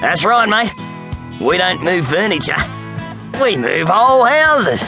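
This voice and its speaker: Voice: mid-range voice, sing-song, takes it slowly, creaky, music in the background, voice pushed up, full character voice. A storybook reader voicing a friendly, ragged Australian workman who moves houses, cheerful and matter-of-fact. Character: friendly ragged grown man, house-moving worker.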